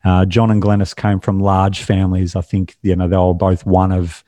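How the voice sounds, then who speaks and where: Australian man, casual interview guest. Mellow tone, dry sound, candid and unscripted delivery. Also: low-pitched, completely flat delivery, normal speed, dry recording. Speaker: podcast guest.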